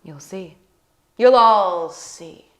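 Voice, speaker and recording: A woman's voice: medium pitch, drawn-out words. A woman playing a brash, scheming company shareholder in a drama table read, speaking with a commanding, condescending and mocking manner. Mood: scornful, defiant. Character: brash, scheming female shareholder challenging an all-male board.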